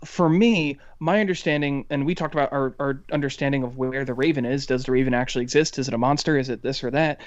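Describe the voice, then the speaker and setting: A man co-hosting a podcast, giving casual criticism in a halting, analytical way. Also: medium pitch, muffled recording.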